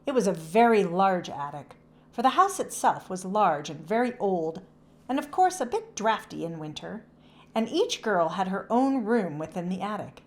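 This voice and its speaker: Expressive woman reading a children's storybook. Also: mid-range voice, moderate pace. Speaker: children's book read-aloud narrator. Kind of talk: scripted storybook narration for children.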